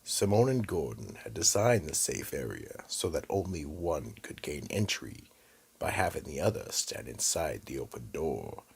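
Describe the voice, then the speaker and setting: Man doing a gravelly, bottomless-deep horror storyteller. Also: sing-song, normal speed, heavily acted. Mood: ominous. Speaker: narrator and host. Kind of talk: scripted horror narration.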